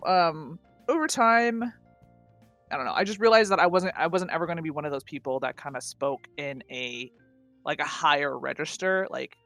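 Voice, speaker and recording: Husky-voiced woman chatting loosely. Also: low-pitched, moderate pace, fairly bright tone, no echo, faint music behind. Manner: loose and self-mocking, heavy on filler words, casual swearing. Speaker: podcast co-host and streamer. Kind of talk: casual chat.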